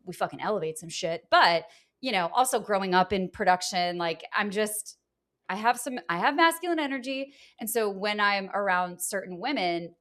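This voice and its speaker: A woman from Atlanta, Georgia, in a candid podcast conversation. She talks openly and animatedly, with a mid-range voice, leaning into stories and breaking into laughter. The tone is relaxed and conversational. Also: mid-range voice, moderate pace. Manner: animated, expressive, frank and laughing. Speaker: guest, producer.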